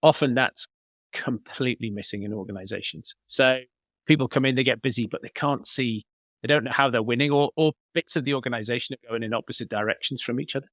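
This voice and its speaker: A man speaks as an interview guest in a coaching role. His pitch is average for a man, and he explains things conversationally, step by step. The sound is dry, with very little room. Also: quick pace, a bit bright, no echo. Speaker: business coach, podcast guest.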